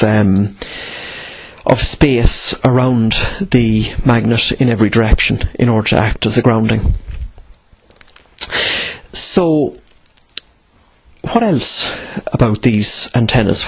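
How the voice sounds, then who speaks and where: A man with a slightly rough, husky voice at an average pitch explains things in an informal teaching style. He sets up questions and then answers them himself. Key phrases get repeated for emphasis. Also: poor audio. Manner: asks and answers own questions, emphatic repetition. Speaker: presenter of instructional radio videos.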